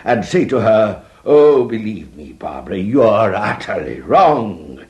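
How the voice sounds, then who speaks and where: A worldly English gentleman in a farce, with a deep voice and very lively intonation. He is pompous and self-satisfied, drawling his schemes like a man of experience. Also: slow pace, lo-fi sound. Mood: conspiratorial coaching. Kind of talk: vintage stage farce acting.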